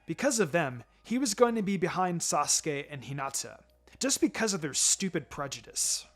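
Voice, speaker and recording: Animated male story narrator over music. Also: fairly high pitch, moderate pace. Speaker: fan fiction reader. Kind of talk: scripted dramatic story narration. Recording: music under the voice. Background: background music.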